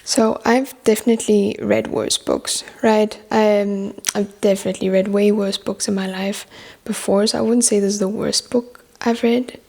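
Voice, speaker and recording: A young woman talks through her year of books in a loose, chatty way, often restarting sentences as she thinks aloud. Her mid-range voice carries a gravelly edge. Her first language is French. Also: mid-range voice, little pitch movement, moderate pace, darkish tone. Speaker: book vlogger. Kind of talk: casual book review chat.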